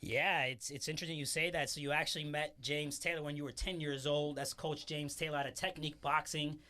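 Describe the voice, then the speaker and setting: Man hosting an interview, higher voice, friendly, encouraging questions. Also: steady tone, a little fast, sharp tone. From born in New York, grew up in Western New York. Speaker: interview host.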